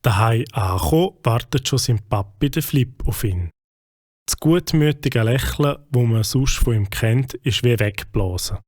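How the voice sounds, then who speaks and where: A man with a Swiss German accent narrating a children's story calmly. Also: mid-range voice, unhurried, no room sound.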